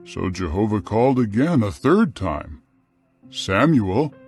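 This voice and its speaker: A man narrating dramatized scripture in a solemn, measured, clearly phrased manner. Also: on the low side, sing-song, darkish tone, faint music behind.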